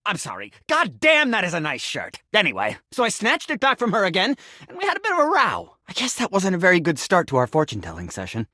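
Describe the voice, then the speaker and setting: A man's voice: sits high, no echo, clean studio sound, exaggerated voice. An actor voicing an eccentric talking sink who believes he is a man, in a florid, theatrical video game style. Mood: theatrical, rambling. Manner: florid, theatrical, whimsical, swinging between grandiose and deadpan.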